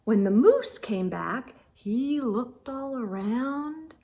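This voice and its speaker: High, animated woman reading a storybook. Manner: theatrical, drawn-out suspense with gasps and asides. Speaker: storytime host reading picture books aloud. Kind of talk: expressive children's read-aloud narration.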